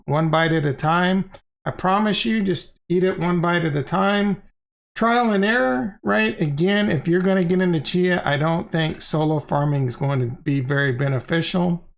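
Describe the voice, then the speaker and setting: A man's voice: a little high, creaky. A middle-aged man hosting a livestream, explaining things in an informal, slangy, conversational way and repeating phrases for emphasis. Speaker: crypto channel host and livestreamer. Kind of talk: casual, unscripted livestream explainer.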